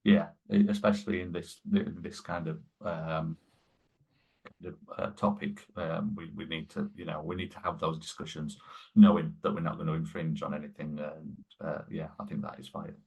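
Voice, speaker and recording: Podcast guest, man, husky and flat-toned, rambling with fillers and restarts. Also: very deep voice, normal speed. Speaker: guest, sustainability lead. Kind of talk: conversational podcast interview.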